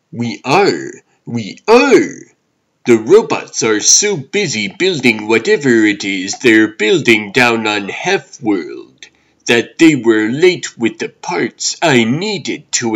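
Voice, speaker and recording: A man's voice: mid-range voice, very animated, slow, a bit bright, pitched below the performer's own voice, heavily acted. A man voicing a busy, fussy reptilian toymaker in a comic dub, muttering and preoccupied.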